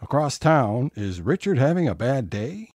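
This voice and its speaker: A man's voice: mid-range voice, very animated, normal speed, a little mellow, no room sound, studio quality. A man playing a wry narrator who sets the scenes in a scripted audio comedy. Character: wry storybook-style narrator setting each comic scene.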